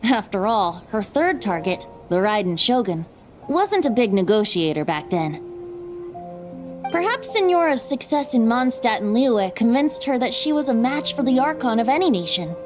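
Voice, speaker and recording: A woman's voice: fairly high pitch, very animated, moderate pace. A woman playing a whimsical, worldly-wise young male bard in an English-dubbed fantasy game, with a light boyish tone and a playful yet reflective manner. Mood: reflective, measured. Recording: music under the dialogue. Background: game music.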